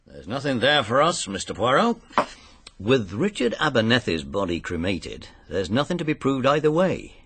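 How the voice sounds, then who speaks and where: An actor playing a plain-spoken, polite police inspector in a British radio drama, matter-of-fact and deferential. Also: male voice, mid-range voice, big pitch swings, moderate pace, a bit bright, slightly rough, exaggerated voice. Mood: dry, sceptical.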